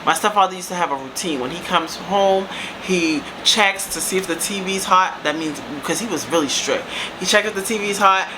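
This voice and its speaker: Chatty deep-voiced woman telling a story. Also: moderate pace. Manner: chatty, calls viewers baby and girl, lively asides. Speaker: storytime vlogger. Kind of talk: casual storytelling to camera. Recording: steady air-conditioner hum behind.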